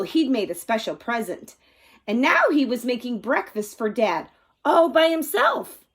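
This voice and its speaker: A woman storyteller reading a children's picture book aloud expressively, acting out the sound words with drama. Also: a little high.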